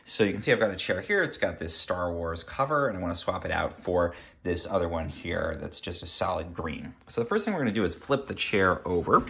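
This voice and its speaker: A man hosting a casual how-to video at home, explaining each step in a relaxed way while handling objects in a kids' playroom, filming with a phone. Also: low-pitched, fairly quick, gravelly, few pauses, husky. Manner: relaxed and explanatory, with fillers and small laughs. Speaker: DIY video host.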